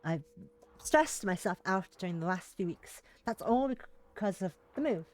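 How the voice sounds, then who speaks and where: A woman's voice: medium pitch, animated, a little slow. A woman live streaming, chatting casually and reading visual novel text aloud, now and then tripping over words and rereading them. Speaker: live streamer playing a game.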